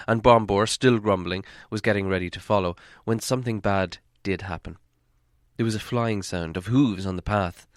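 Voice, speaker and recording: A man's voice: fairly low pitch, fairly bright tone, no echo, studio quality. A man reading a fantasy adventure story aloud as scripted audiobook narration. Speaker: audiobook reader.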